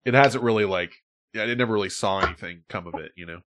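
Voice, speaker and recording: Lowish-voiced man rambling with a swear. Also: fast, noisy background. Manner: rambling, swears freely, laughs often. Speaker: podcast host. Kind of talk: casual chat.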